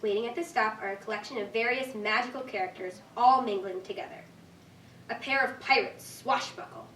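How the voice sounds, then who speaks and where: Young female narrator for script action lines, slightly high voice, picked up from a distance. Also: a little fast, fairly bright tone. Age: young adult. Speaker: stage-directions reader. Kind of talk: scripted narration, read aloud. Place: echoey indoor room at a staged reading. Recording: echoey room, distant mic, faint noise.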